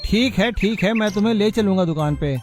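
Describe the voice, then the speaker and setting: A man voicing a greedy, envious goldsmith's workman in an animated moral story. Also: high-pitched, a little fast, soft background music, lightly acted. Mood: giving in.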